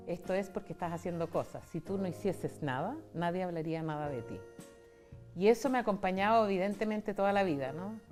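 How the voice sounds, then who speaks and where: Interview guest: Chilean woman in her 60s, slightly low voice, candid personal storyteller. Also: mellow. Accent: Chilean Spanish. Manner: candid, conversational, retells dialogue. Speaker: interview guest, foundation founder.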